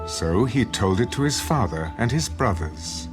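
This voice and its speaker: Low-voiced man narrating scripture over music. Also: animated, unhurried. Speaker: narrator of a dramatized audio Bible.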